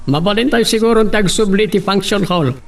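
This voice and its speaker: Man playing a calm business boss, high creaky voice, Ilocano accent, matter-of-fact orders, radio drama. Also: brisk, far from the mic.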